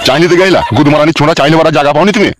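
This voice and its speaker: A man's voice: fairly high pitch, very fast, background noise, lightly acted. A man voicing a brusque, bossy, swearing older thug uncle in a comic cartoon. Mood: scolding. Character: gruff, foul-mouthed, bossy older neighbourhood thug uncle.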